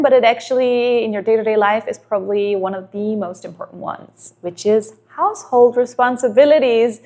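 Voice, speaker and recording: A woman's voice: a little high, a little mellow. A woman from Denmark, a native Danish speaker in her thirties, giving earnest relationship advice to camera and asking many rhetorical questions.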